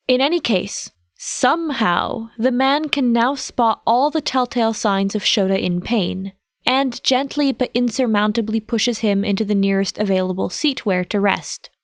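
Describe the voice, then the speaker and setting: A woman's voice: a little high, no echo, studio quality. A woman reading a story aloud as scripted narration. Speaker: podfic narrator.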